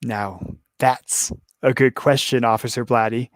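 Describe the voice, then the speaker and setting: A hoarse man reading a calm, gleeful male serial killer in custody, slow, low and matter-of-fact. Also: no echo, lightly acted. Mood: cryptic.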